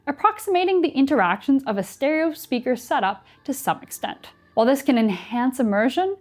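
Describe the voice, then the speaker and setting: A young woman presenting a scripted product review in an articulate, measured, explanatory way. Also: on the high side, a little mellow. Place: indoor studio set.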